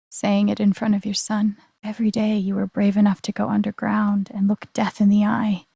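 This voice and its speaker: A woman playing an earnest, wounded young woman in a naturalistic video game drama, speaking breathily with slow, deliberate, emotionally weighted phrasing. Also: mid-range voice, gravelly, darkish tone, no room sound. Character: earnest, wounded young woman confronting betrayal with quiet conviction.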